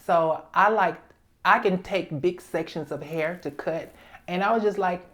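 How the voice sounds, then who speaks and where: Emphatic woman in her fifties, lowish voice. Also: measured pace. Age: 50s. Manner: emphatic, repeats herself, talks with relaxed confidence. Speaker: guest hairstylist. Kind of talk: casual interview chat.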